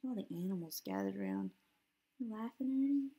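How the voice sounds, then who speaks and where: A woman in her thirties reading a picture book aloud at home to young children in an expressive, sing-song way. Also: medium pitch, normal speed. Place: small living room at home, indoors.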